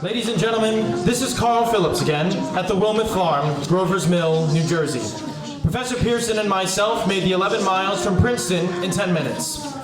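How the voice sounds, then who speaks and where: A man playing an excitable 1930s radio field reporter, giving polite but pressing on-scene commentary full of wonder. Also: high voice, normal speed, hardly any pauses, crowd noise. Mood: awed. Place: live audience performance in a hall. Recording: echoey hall, distant mic, noisy ambience.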